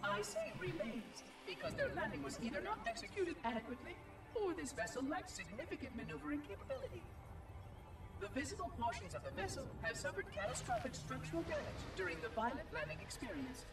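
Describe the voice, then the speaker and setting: High, swooping, fussy robot monitor. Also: female voice, huge pitch swings, fast talker, roomy sound, far from the mic. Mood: clinically curious. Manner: formal, precise, clinical diction. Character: eccentric robotic installation monitor, fussy, bored, verbose. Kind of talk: scripted game cutscene monologue. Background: music.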